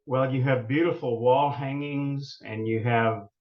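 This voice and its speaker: A man's voice: mid-range voice, steady tone, unhurried. Courteous male host, echoey lo-fi sound. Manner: courteous, complimentary, some stammered restarts. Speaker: interview host. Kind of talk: polite interviewer chat. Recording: echoey room, dull lo-fi sound.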